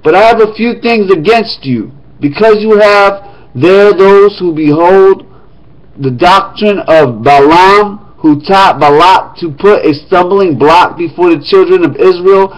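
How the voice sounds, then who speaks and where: A man's voice: high-pitched, measured pace, rough recording. A man reading the Bible aloud in an emphatic, declamatory manner, with casual touches. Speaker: scripture reader.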